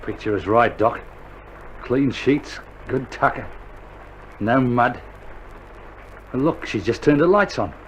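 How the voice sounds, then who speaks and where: An actor playing an eager, loyal wounded Australian soldier in a radio drama, talking in an earnest, colloquial way. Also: male voice, mid-range voice, animated, slow, pauses often, highs cut off. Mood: relieved, wistful. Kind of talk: recorded radio drama acting. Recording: vintage vinyl recording, faint noise.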